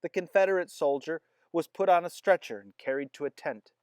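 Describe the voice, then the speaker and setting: A storyteller reading a children's chapter book aloud, with expressive narration. The recording is close and dry, with no room around the voice. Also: medium pitch, brisk, smooth, no room sound. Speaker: storybook reader.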